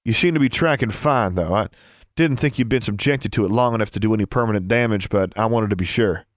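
A man voicing a calm, commanding, sardonic young male wizard in an audiobook, with a patronizing manner. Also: on the low side, fast talker, dry recording, clean studio sound, close to an everyday voice. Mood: clinical. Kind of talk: audiobook character voice.

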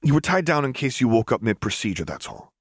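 A man's voice: medium pitch, big pitch swings, fast, husky, dry recording, clean studio sound, pitched above the performer's own voice. Man playing an easygoing, nervous, lighter-voiced young man. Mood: reassuring. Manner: casual, hesitant, placating.

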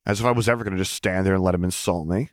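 A man's voice: low voice, very fast, no echo, clean studio sound, lightly acted. A man voicing a good-humored, polite young man with a primly indignant streak in an audiobook. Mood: exasperated. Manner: bright, cheeky, primly emphatic. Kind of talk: audiobook character dialogue.

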